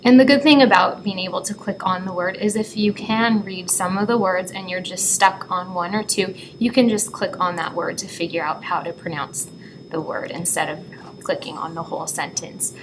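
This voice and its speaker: A woman's voice: fairly low pitch, steady tone, normal speed, noisy background. A woman giving step-by-step instructions in a walkthrough review of an educational website. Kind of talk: instructional screen-recorded walkthrough. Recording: background chatter behind the voice.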